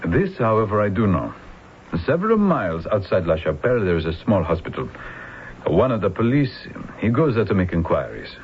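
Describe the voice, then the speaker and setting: A courteous police lieutenant with a French accent and a very deep, animated voice. He is formal and deliberate, on a muffled old radio recording. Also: slight background noise. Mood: formal, measured. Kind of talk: old radio drama acting.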